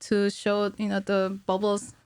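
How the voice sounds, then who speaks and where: A woman's voice: medium pitch, measured pace, clear, resonant tone. A woman in her thirties explaining things casually as a podcast guest, with a giggly manner. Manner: giggly, animated hand gestures. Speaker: podcast guest, cinematic animator. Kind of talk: casual explanatory interview chat. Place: small indoor podcast studio with curtains. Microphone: studio mic on stand, headphones.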